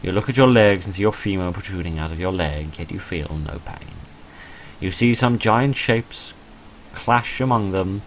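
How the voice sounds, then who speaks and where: A low-pitched man narrates a text-based game, switching between reading aloud and offhand commentary. His asides are wry and self-deprecating. The recording carries noticeable background noise. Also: moderate pace, poor audio. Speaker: gaming video narrator reading a visual novel aloud.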